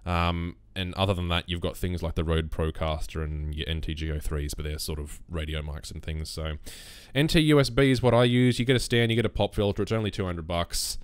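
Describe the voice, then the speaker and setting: A young man with a bassy voice explaining his recording setup in a relaxed, rambling way, close on a USB condenser mic on a boom arm. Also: brisk, few pauses, clear, resonant tone, no echo. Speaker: YouTube gaming creator. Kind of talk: casual screen-recorded tutorial.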